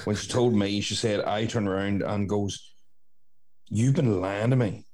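A Northern Irish man with a fairly low voice hosts a casual podcast. He tells anecdotes in an animated, conversational way and swears freely. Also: measured pace. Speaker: podcast host. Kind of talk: casual chat, telling childhood anecdotes.

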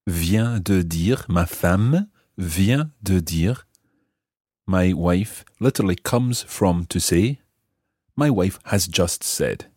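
A man hosting a scripted language lesson, explaining clearly and repeating phrases slowly and deliberately. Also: low-pitched, animated, darkish tone, dry recording, clean studio sound. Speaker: language teacher and host.

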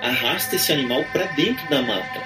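A man's voice: medium pitch, lively intonation, brisk. A man with a Brazilian Portuguese accent, casually telling anecdotes as a storyteller in a conversation. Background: background music.

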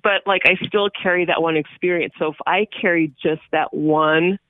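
A woman's voice: on the low side, fairly even intonation, normal speed. A woman in her forties whose first language is Hebrew, speaking earnestly as a political candidate and guest, repeating phrases for emphasis. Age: 40s. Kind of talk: phone interview on radio. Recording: phone line.

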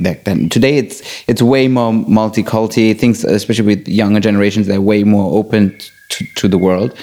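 A man born in Germany, chatting casually and enthusiastically and giving quick affirmations. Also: fairly low pitch, warm tone. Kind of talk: casual conversation.

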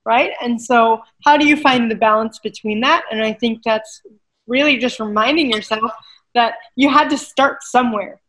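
A woman with a high voice, talking as an interview guest. She coaches fitness and speaks with animated emphasis. She keeps tagging her points with a quick "right?". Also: slight background noise, rough recording. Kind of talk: casual interview conversation.

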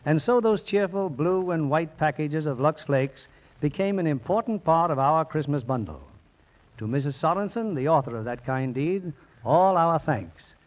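A man announcing and narrating a scripted 1940s radio drama in a measured, formal manner. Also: a little high, lively intonation. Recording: dull old radio recording with music.

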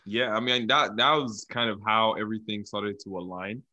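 A man's voice: lowish voice, moderate pace, sharp tone. A young man from Nigeria, telling his story casually as an interview guest.

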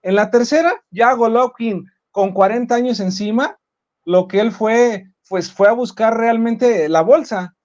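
A man's voice: very high-pitched, unhurried, a little mellow, highs cut off. A man with a Mexican accent hosting a sports debate show, arguing his opinions emphatically. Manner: emphatic, argumentative.

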